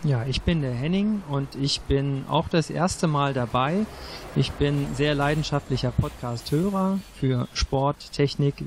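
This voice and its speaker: Creaky-voiced male quiz contestant. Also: medium pitch, a little mellow, slight background noise. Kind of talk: self-introduction, plain talk.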